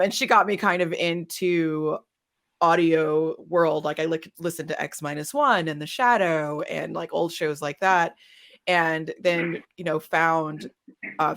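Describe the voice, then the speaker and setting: A woman's voice: low-pitched, a bit bright. A woman speaking casually and off the cuff as a filmmaker on a panel.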